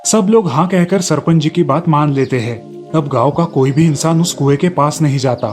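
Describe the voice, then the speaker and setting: A man's voice: a little high, moderate pace, a little mellow. A man narrating a scripted cartoon story as its storyteller. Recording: music behind the voice.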